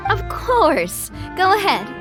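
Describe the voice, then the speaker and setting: An actress playing a warm, earnest young woman who leads a protective gang in an anime-style video game. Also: very high-pitched, wildly swooping pitch, takes it slowly, exaggerated voice. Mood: warm, reassuring. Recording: faint music behind the voice.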